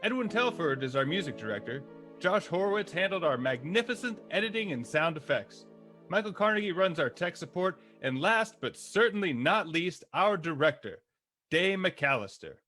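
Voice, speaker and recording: A man works as a radio announcer reading scripted credits. His voice is bright and animated, with wide swings in pitch. Music plays clearly behind him. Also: moderate pace.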